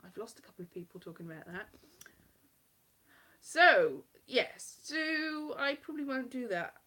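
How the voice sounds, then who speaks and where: A woman's voice: high voice, big pitch swings, a little slow, bright, forceful tone. A Welsh woman with a light West Country-tinged accent, a comedian chatting casually on a live stream from her home kitchen in a rambling, self-mocking way. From South Wales. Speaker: comedian performing at a festival.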